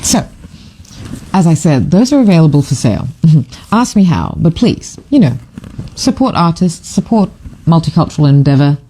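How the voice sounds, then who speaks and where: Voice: medium pitch, sing-song, normal speed, dark tone, slightly rough. A middle-aged photographer from Fiji talks casually to camera while presenting a book. The talk rambles and digresses, with many fillers and restarts, and it gushes with enthusiasm. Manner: gushing, enthusiastic. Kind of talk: casual live commentary to camera.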